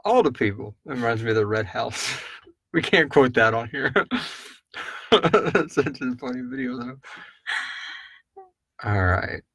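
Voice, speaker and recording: A man with a mid-pitched voice hosts a live question-and-answer stream. He talks informally and rambles a little, addressing viewers like friends. The recording is dry, with very little room sound. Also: animated, takes it slowly, pauses often, fairly bright tone, slightly rough, dry recording. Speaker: podcast host answering listener questions. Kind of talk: casual live-stream chat.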